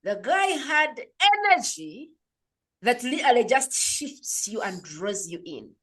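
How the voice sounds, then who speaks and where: Animated storytelling woman from Uganda. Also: on the high side, takes it slowly, husky. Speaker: podcast host. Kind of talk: conversational interview, storytelling.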